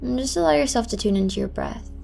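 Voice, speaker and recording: A woman teaching a yoga class, guiding movement and breath in a gentle, encouraging manner over music. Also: a little high, expressive, quick pace, creaky. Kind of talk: guided yoga class with meditative cues.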